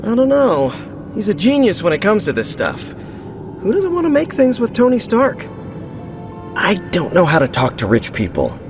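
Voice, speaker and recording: A man's voice: high-pitched, huge pitch swings, normal speed. An actor playing an eager, awkward young male superhero in a video game, earnest, chatty and a little hesitant. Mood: starstruck. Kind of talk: video game dialogue acting. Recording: tinny band-limited game audio, music behind.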